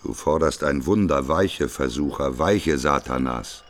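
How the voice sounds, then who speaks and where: A man's voice: rumbling low, measured pace. A man playing a weary, grumbling prophet in a radio play, answering with grudging, sardonic retorts. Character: weary, grumbling male prophet, reluctant and argumentative.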